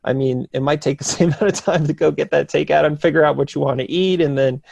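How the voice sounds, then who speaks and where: A man's voice: fairly high pitch, fast, creaky, darkish tone, no room sound, highs cut off. A man from New Jersey, a nutrition coach talking casually as a podcast guest, easygoing and full of fillers. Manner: easygoing, loose with fillers and restarts. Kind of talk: casual conversation.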